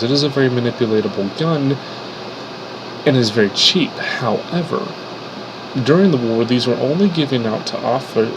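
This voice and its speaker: A man's voice: mid-range voice, background noise. A man who is sick and congested, explaining his 3D weapon models in a casual, unscripted way with fillers and restarts.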